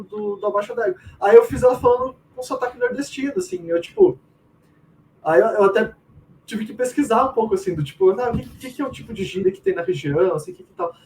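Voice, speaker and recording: A man with a Brazilian accent chatting casually and slangily as a guest, telling anecdotes and quoting his own thoughts. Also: extremely high pitch. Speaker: game writer, interviewee. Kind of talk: casual chat, animated anecdotes. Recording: distant mic with faint room noise.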